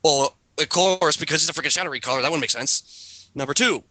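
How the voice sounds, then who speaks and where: Bright-voiced man reading aloud. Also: on the high side, brisk, dry recording. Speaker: host reading a character bio aloud. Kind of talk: dramatic reading with casual commentary.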